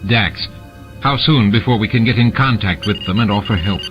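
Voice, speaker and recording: Man reading as a grave, low-voiced station commander. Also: normal speed, highs cut off, lightly acted. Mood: concerned. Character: weary, steady male station commander. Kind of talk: audiobook character dialogue. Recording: music bed behind the reading.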